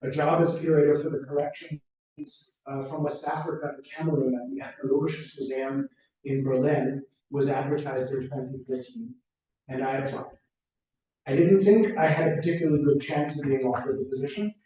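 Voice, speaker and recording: Middle-aged american guy reading a conference talk, mellow voice, super echoey and off-mic. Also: mid-range voice, steady tone, dull audio. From United States. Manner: measured, reads from prepared text with lists. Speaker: plenary speaker. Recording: echoey room, voice from far off.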